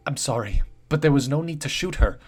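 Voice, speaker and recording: Brief: young woman, voiced by a man, high, urgent, pleading. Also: expressive, brisk, slightly rough, faint music behind. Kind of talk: storybook reading.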